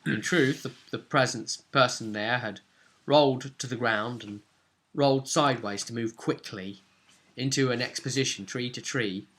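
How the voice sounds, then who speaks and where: A man's voice: mid-range voice, normal speed, a bit bright, slight background noise. A man casually recapping a tabletop role-play session as game master, rambling along and often seeking agreement with tag questions.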